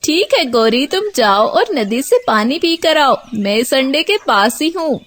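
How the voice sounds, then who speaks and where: High-pitched male cartoon crow, done by a woman, kindly husband, calm, gentle, reassuring. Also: a little slow. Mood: agreeable. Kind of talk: Hindi children's cartoon voice acting.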